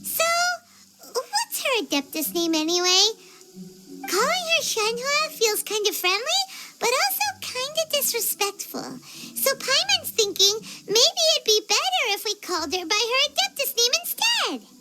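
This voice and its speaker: A woman voicing a small, childlike floating fairy girl in an English video game dub, chirpy, curious and squeaky, speaking with exclamations. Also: big pitch swings, measured pace, over loud music, exaggerated voice. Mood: curious, upbeat. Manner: speaks of self in third person, exclamatory.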